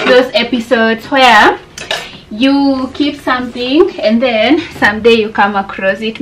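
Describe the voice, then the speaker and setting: A woman's voice: mid-range voice, noisy background. A young woman with a Kenyan accent chatting casually to her viewers in a home vlog, slipping Swahili words into her English. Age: young adult. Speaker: vlogger. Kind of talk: casual vlog chat to camera. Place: small home room.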